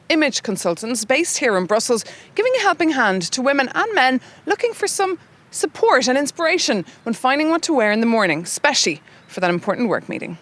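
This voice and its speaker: A woman's voice: sits high, a bit bright. A woman reporter presenting a lifestyle magazine piece, moving between scripted narration and interview questions.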